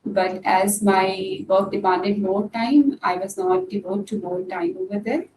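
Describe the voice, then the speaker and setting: A woman guest speaking in a halting way, with many pauses and fillers. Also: mid-range voice. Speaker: podcast guest. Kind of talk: casual interview talk. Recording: distant, echoey room with noise.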